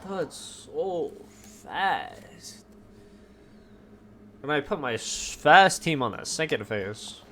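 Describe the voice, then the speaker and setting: A man's voice: mid-range voice, a bit bright. A man doing casual video game commentary, talking to himself and drifting between exclamations and muttering.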